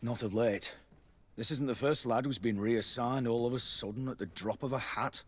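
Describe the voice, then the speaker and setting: A man acts out a salty veteran quartermaster in a fantasy video game. His voice is mid-range, and he talks in colourful, rough-and-ready colloquial phrases, a little forgetful. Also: medium pitch, moderate pace, natural voice. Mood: rueful, grumbling. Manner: colloquial, exclaiming. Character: salty, colourful old-hand male barracks clerk, forgetful. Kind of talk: dramatic video game acting.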